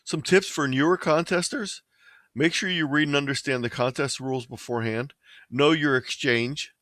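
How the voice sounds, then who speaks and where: A man's voice: mid-range voice, fairly bright tone, no room sound. An American man giving an instructional presentation in a plain, methodical way. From Ohio, United States. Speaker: presenter of an amateur radio tutorial.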